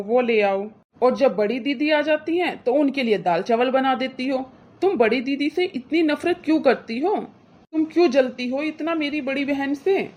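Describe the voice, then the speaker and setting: A woman's voice: fairly high pitch, darkish tone, dull audio, natural voice. A woman voicing an indignant, protective brother in a cartoon moral story, scolding his wife with insistent, reproachful questions.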